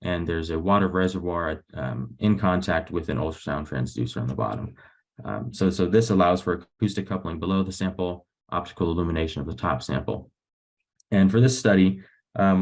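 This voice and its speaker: Young male researcher, very low gravelly register, explaining technical slides on a webinar. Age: young adult. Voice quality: low, creaky voice. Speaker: graduate student researcher presenting. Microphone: video call.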